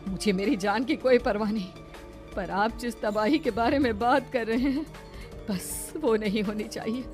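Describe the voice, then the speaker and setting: A woman playing an anxious but brave heroine in an animated horror story, earnest and dramatic. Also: on the high side, moderate pace, soft background music, lightly acted. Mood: resolute.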